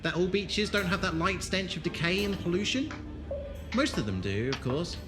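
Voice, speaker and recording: A man in an audio drama playing a haunted former soldier and leader, speaking in a deliberate, dramatic way. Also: high voice, very animated, music in the background. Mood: emphatic, lecturing. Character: haunted, grieving male former soldier, brisk leader of travellers.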